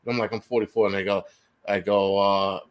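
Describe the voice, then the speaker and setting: A man's voice: on the low side, level tone, fairly quick. A man in his forties hosting a casual livestream chat, teasing and swearing freely while reading viewer comments aloud. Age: 40s. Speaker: livestream host.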